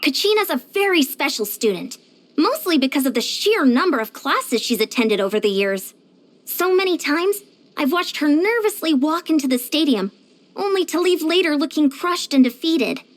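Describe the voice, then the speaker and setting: A woman voicing a short, determined, energetic young female fitness coach in a video game, earnest and plainspoken. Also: high-pitched, normal speed. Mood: concerned, reflective. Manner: earnest, plainspoken, chatty, confident. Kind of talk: animated video game character voice lines.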